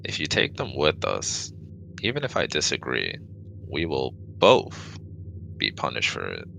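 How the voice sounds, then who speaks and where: Man voicing a very deep, creaky male alien. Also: wildly swooping pitch, unhurried, bright, forceful tone, slight background noise, full character voice, poor audio. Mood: stern, worried. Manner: formal, stiffly precise wording, hesitant. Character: formal, cautious but kind male alien. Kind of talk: scripted sci-fi audio roleplay, ASMR.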